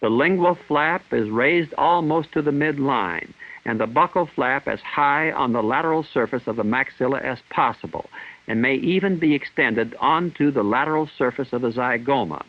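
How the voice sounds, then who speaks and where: A man reading scripted instructional narration in a formal, measured, clinical manner, in the style of a 1960s training film. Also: medium pitch. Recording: dull 1960s film soundtrack.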